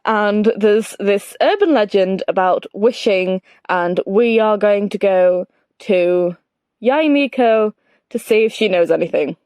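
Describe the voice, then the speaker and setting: A woman's voice: medium pitch, slow pace, a little mellow, smooth, no room sound, clean studio sound. A woman giving casual, rambling, self-deprecating commentary over a game playthrough. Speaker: gaming video creator.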